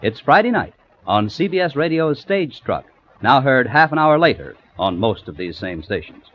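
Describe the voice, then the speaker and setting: A man's voice: mid-range voice, sing-song, moderate pace. An American man delivering scripted announcements in a mid-century radio style. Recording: muffled vintage radio recording.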